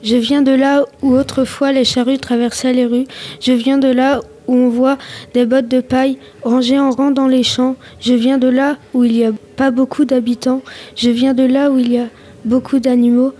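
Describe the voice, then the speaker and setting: A teenage girl reciting a slam poem in a steady, even manner. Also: sits high, normal speed. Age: teens.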